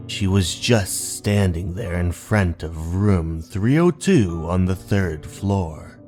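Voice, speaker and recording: Guy reading scary stories real slow, low voice, dramatic and suspenseful, bit of music behind him. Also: mellow. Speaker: narrator of horror stories. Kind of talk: scripted dramatic horror story narration. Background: background music.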